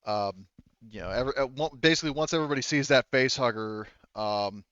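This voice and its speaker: Smooth-voiced man, halting tabletop game master, muffled sound. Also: mid-range voice, fairly bright tone, no room sound. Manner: halting, wordy, explanatory. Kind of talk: improvised tabletop game narration.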